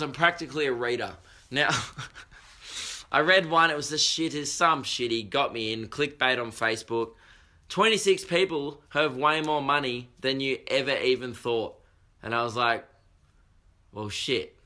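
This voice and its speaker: Australian male podcast host, young, croaky, sweary comic rambler. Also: medium pitch, sharp tone. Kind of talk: casual comedic monologue.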